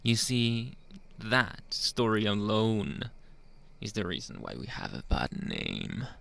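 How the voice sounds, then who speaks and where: Slow, gravelly man playing a flirty werewolf. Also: fairly low pitch, wildly swooping pitch, a bit bright, heavily acted. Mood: boastful, playful. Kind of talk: ASMR roleplay monologue.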